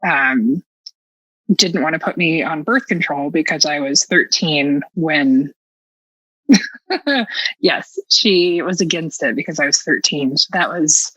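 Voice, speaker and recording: A woman's voice: low-pitched, takes it slowly, a bit bright, slightly rough, no room sound, highs cut off. A middle-aged American woman telling personal stories as a podcast guest, casual and candid, with a sarcastic, self-mocking streak and a habit of acting out dialogue.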